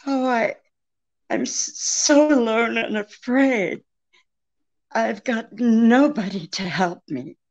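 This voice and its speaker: An actress playing a secretive, seemingly helpless femme fatale client in an old-time radio mystery, halting and pleading with a throb in her voice. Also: fairly high pitch, a little mellow, lo-fi sound. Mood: desperate pleading. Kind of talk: old-time radio detective drama re-creation.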